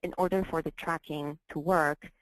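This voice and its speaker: A woman working as a software trainer, walking through slides in a deliberate, somewhat hesitant way and repeating phrases as she explains. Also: deep voice, fairly even intonation, no echo, poor audio. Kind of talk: slide-based training walkthrough.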